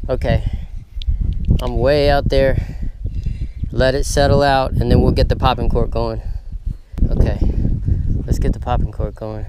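A man hosting a fishing vlog outdoors, talking to viewers in an excited, casual way as he fishes. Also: medium pitch, a little slow, pauses often. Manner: excited, talks straight to viewers. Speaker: fishing channel host. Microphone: handheld camera mic. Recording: outdoor camera audio, clear background noise.

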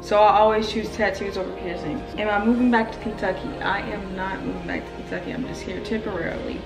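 A chatty woman in her early twenties talks straight to her camera, blunt and teasing, dropping slang as she goes. Her voice bounces around an echoey room, and clear music plays underneath. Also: mid-range voice, steady tone, fast talker, distant mic. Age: 20s. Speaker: YouTuber answering fan questions. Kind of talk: casual Q&A vlog. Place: indoor room near stairs. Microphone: camera mic, filmed from below.

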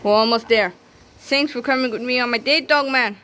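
A woman's voice: a little high, a little fast, bright, forceful tone, slight background noise, pitched above the performer's own voice. A woman voicing a nervous, lovestruck male police chief from a comic book, hesitant and flustered.

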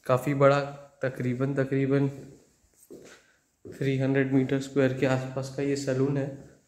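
Slow-talking man touring a flat. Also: medium pitch. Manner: slow, hesitant, with many fillers. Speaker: property tour guide. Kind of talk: casual property walkthrough. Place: terrace and empty apartment rooms outdoors and indoors. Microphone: phone camera held at arm's length.

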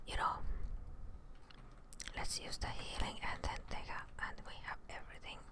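Whispering woman, ASMR game commentary. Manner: hushed, unhurried murmuring to self. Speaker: gameplay streamer. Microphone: close desk microphone, wearing headphones.

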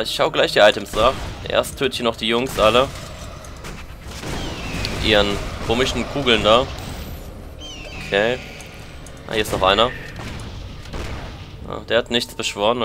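German man with a bright, cold-tinged voice. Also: on the low side. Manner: chatty, thinking aloud while playing. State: has a lingering cold. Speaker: let's play commentator. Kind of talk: casual gameplay commentary.

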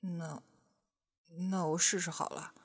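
一位女演员在广播喜剧中饰演爱撒娇、害羞黏人的阴柔少年。. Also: female voice, on the low side, little pitch movement, slow pace, breathy edge. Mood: bashful flirting.

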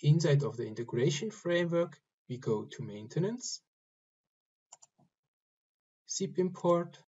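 A man giving step-by-step instructions for a software screen recording, speaking in short, halting phrases. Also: fairly high pitch, lively intonation, slightly rough, dull audio. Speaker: software tutorial presenter. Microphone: voice-over recorded over screen capture.

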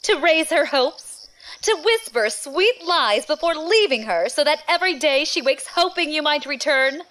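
A woman's voice: high voice, animated, normal speed, a bit bright, a little hiss or hum, rough recording. A woman playing a haughty, menacing young sister in an audio drama, scornful and theatrical.

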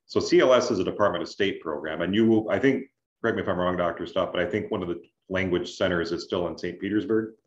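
A man explaining things as an adviser in an online information session, in a measured, conversational way with check-in questions. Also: deep voice. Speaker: scholarship adviser, presenter. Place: indoors on a video call. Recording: roomy, dull video-call audio.

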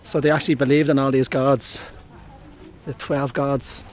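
A man's voice: medium pitch, flat delivery, normal speed. A man in his forties with an Irish accent, chatting casually to a handheld camera while touring a hilltop site outdoors. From Ireland. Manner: chatty, friendly, thinking aloud. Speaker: travel vlogger. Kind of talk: casual travel vlog. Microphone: handheld camera on a gimbal. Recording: music playing in background.

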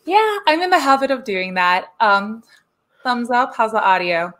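A woman's voice: fairly high pitch, lively intonation, a little slow, fairly bright tone. A woman in her thirties answering casually as a guest speaker on a video call. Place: room indoors.

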